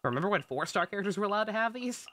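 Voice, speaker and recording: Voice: mid-range voice, lively intonation, very fast, bright, forceful tone, a little hiss or hum. A game streamer giving casual, rambling live commentary, peppered with swearing and exclamations.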